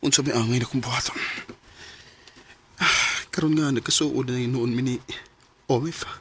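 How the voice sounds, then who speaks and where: A man's voice: medium pitch, fairly even intonation, noisy background, natural voice. A male actor with a Cebuano accent playing a conflicted young business owner in a radio drama, speaking hesitantly. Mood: troubled, torn. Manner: hesitant, halting. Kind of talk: Philippine radio drama acting.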